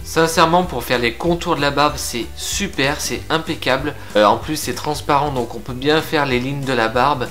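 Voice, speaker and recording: A man's voice: medium pitch, soft background music. Chatty young man reviewing beard products. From France. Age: young adult. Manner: lively, frank, chatty with filler pauses. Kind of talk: casual product review to camera. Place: indoor room with shelves of figurines.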